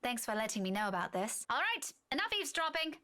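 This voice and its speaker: A firm, bright-voiced young schoolteacher. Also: female voice, fairly high pitch, big pitch swings, quick pace. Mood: stern. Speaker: game character, school teacher. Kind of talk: visual novel voice acting.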